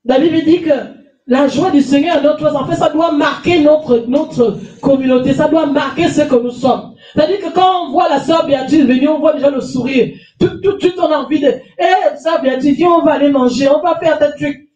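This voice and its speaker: High-voiced woman pastor preaching, with a cold. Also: normal speed, mellow, muffled recording. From France. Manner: emphatic preaching, repeating phrases, calling for amens. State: has a cold. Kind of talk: sermon. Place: small church hall with stage and lectern. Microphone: handheld mic, far camera. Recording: echoey room, voice from a distance.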